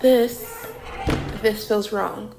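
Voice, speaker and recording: A woman's voice: medium pitch, fairly even intonation, very slow, gravelly, over music. A woman playing a hesitant, yearning traveller in a radio drama, speaking with wonder. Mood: uneasy. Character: hesitant, yearning female traveller through a simulation.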